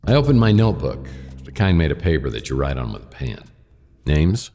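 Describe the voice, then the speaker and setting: Very deep, deadpan noir detective. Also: male voice, a little fast, long reverb tail, heavily acted. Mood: wry. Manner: deadpan, self-deprecating, dry wit. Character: wry, world-weary male private detective narrating his case. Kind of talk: hardboiled noir radio drama narration and dialogue.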